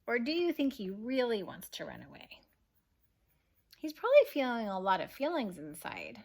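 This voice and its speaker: A woman, a kindergarten teacher, reads a picture book aloud gently and expressively, pausing to ask young listeners questions. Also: fairly high pitch, wildly swooping pitch, vocal fry.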